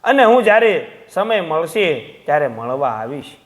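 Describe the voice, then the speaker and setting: A man's voice: on the high side, sing-song, moderate pace. A man voicing an apologetic, evasive grown son in a moral story, making excuses in a placating manner.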